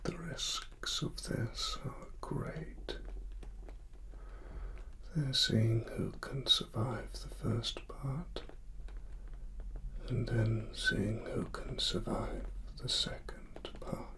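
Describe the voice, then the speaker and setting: A British man performing a softly spoken ASMR roleplay as a grave cyberpunk investigator, breathy and partly whispered, with deliberate pauses. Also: mid-range voice, animated. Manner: slow, deliberate, hushed, with long pauses. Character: grave cyberpunk investigator uncovering corporate wrongdoing.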